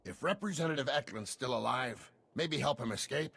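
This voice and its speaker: An actor playing a gruff, irreverent veteran security chief, blunt and wry in an informal way. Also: male voice, medium pitch, animated, moderate pace, a bit bright, heavily acted. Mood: brisk briefing.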